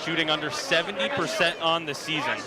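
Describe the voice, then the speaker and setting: High-pitched male basketball play-by-play announcer over loud arena. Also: fairly quick, a loud crowd. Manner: energetic, rising excitement on big plays. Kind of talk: live play-by-play commentary. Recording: loud arena noise behind the voice. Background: basketball arena with bouncing ball.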